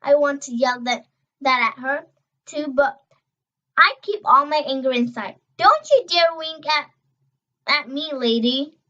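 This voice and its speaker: A young girl reading a children's novel aloud, expressive and emphatic on dialogue, stumbling over words now and then. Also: high voice, measured pace, lo-fi sound. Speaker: reader.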